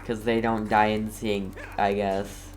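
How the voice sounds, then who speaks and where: A man's voice: fairly low pitch, level tone, unhurried. A man giving casual gameplay commentary as a streamer, with dry, understated joking. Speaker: gamer, streamer.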